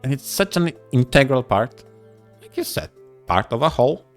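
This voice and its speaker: A man co-hosting a podcast in casual discussion, speaking in a deliberate, halting way. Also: medium pitch, expressive, soft background music. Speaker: podcast co-host. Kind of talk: casual discussion of visual novels.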